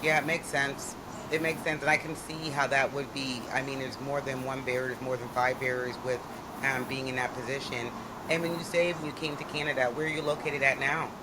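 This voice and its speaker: A woman's voice: extremely low pitch, fairly even intonation, brisk, background noise. A woman hosting a podcast, chatting with a guest in a bubbly, effusive and playful way. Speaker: podcast host. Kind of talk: casual interview chat.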